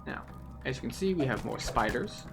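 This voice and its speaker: A man's voice: mid-range voice, expressive, moderate pace, vocal fry, over music. Methodical man guiding through a game. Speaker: video game tour guide. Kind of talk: playthrough commentary.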